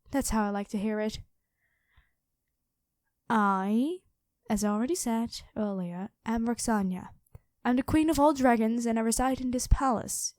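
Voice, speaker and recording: An imperious dragon queen in human form, acted by a woman, speaks in a fairly high, mellow voice. She is regal and condescending, laying down terms with calm menace. It is an intimate ASMR roleplay, recorded very dry. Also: dry recording, close to an everyday voice. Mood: self-assured. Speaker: voice actor in an audio roleplay. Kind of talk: ASMR roleplay monologue addressed to the listener.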